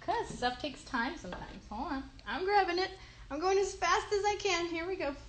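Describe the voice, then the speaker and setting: A woman in her thirties chats as host of a children's livestream. Her voice is high and bright, with lively swings in pitch. She speaks encouragingly, as if to young children. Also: normal speed, slight background noise. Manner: animated, encouraging, child-directed. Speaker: host, puppeteer. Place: bedroom. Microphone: phone at arm's length.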